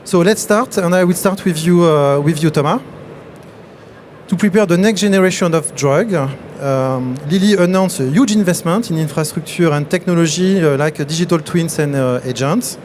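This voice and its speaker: A man moderating a conference panel on stage, halting with many fillers and restarts. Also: a little high, darkish tone, slight background noise. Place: large exhibition hall on stage.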